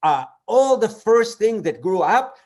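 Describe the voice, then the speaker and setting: A man with an Israeli accent presents a children's puppet show. His voice is notably high and very lively in pitch. He explains things simply, with encouraging exclamations and repeated phrases. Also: unhurried. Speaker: puppeteer and storyteller. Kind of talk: children's show storytelling in dialogue.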